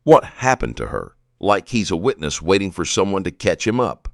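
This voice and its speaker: A man narrates a true crime story from a script. His voice is low and recorded very dry. He speaks in short, measured phrases for dramatic weight. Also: very animated, vocal fry, dry recording.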